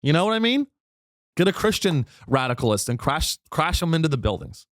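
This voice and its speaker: A man's voice: very animated, brisk, a bit bright, no room sound, clean studio sound. Rambling irreverent podcast host losing his voice. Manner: rambling, irreverent, swearing, with sudden high pitch jumps. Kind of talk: solo comedic podcast monologue.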